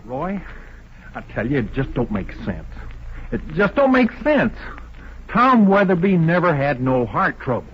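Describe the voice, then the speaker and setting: A man playing a loyal, soft-hearted cowboy sidekick, rustic and halting, with a Western American accent in radio western style. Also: fairly high pitch, very animated. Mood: troubled. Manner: rustic, plain-spoken, halting. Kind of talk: 1940s radio western acting. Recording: dull old radio recording, faint noise.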